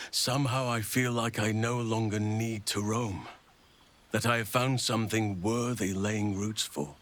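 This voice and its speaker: An actor playing a kindly old druid in a video game, speaking in a measured, reflective way with formal wording. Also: male voice, lowish voice, fairly bright tone. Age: older. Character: gentle, kindly old druid man, wise and fond of children. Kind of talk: video game character dialogue.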